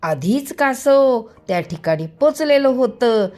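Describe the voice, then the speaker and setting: A woman's voice: a little high, lively intonation, slow pace, mellow. A woman telling a story to young children expressively, drawing out and repeating words for emphasis, with music behind her. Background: background music.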